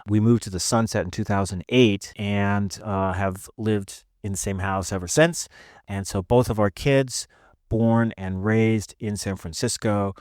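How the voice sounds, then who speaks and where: An American man in his fifties, a podcast guest telling his personal story conversationally in a halting, self-correcting way. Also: on the low side, steady tone, a little mellow, no echo, studio quality. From northeastern United States. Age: 50s.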